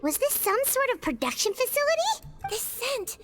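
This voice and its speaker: A woman voicing a small, sweet, gentle girl nurse in an anime game, speaking in a soft, childlike, inquisitive way. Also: very high-pitched, very animated, normal speed, over music. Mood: curious.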